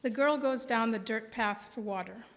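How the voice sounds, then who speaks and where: An American woman in her forties, a poet giving a live reading with deliberate phrasing and pauses. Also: fairly high pitch, slight background noise. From Milwaukee, American Midwest. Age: 40s. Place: indoor reading before a live audience. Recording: reverberant hall sound.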